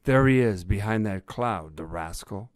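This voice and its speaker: A man's voice: on the low side, normal speed, darkish tone, no echo, studio quality, natural voice. A man voicing an irritable, blustering badger police inspector in a storybook tale. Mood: excited. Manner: gruff-tempered, huffy.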